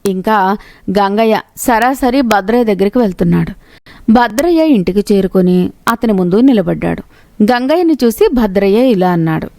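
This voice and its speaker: A woman's voice: mid-range voice, expressive, normal speed, mellow, no echo. A woman narrating a scripted moral story.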